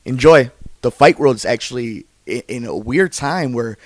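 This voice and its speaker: A man's voice: mid-range voice, animated, moderate pace, no room sound. A man hosting a sports podcast, reading promos and interviewing guests about fight sports.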